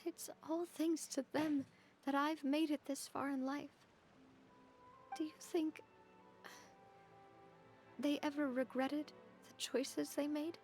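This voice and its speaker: An actress playing a tired, vulnerable young woman in a video game, speaking softly and earnestly. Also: high-pitched. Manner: soft, earnest, slow. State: worn out.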